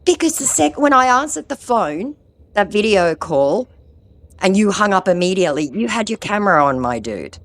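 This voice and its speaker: A woman's voice: medium pitch, very animated, normal speed, no room sound. A woman with an ear infection, mocking a phone scammer in a sarcastic, confrontational way. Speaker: scam-baiting vlogger. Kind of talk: confronting a phone scammer, then chatting to viewers.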